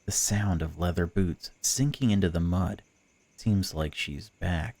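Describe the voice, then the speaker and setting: A man's voice: deep voice, measured pace, darkish tone, dry recording. A man voicing a suffering, bitter captive, reading bleak first-person narration from a visual novel. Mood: weary, bleak. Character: suffering, bitter, frightened captive bound outdoors.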